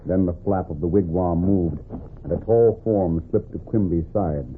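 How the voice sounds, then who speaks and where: Deep-voiced old-time radio narrator, a man. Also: normal speed, a little hiss or hum. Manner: grave, suspenseful, formal. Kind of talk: dramatic scripted narration, 1940s radio. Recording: narrow, tinny 1940s radio.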